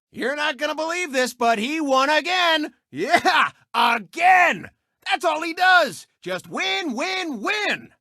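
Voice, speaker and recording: A man's voice: extremely high pitch, bright, forceful tone, no room sound, studio quality, heavily acted. A man voicing a cocky, gloating race car turned sportscaster in a video game, with a smug, mocking, theatrical showman's delivery. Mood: hyped announcing. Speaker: sports show host and racer. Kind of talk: exaggerated sportscast commentary and race taunts.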